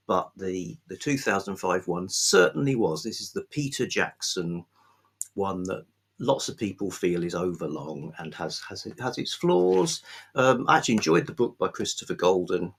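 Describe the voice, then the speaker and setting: Male book vlogger, lower register, slightly rough tone, unscripted, halting but enthusiastic delivery. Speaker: book reviewer, video host. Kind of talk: unscripted book talk to camera.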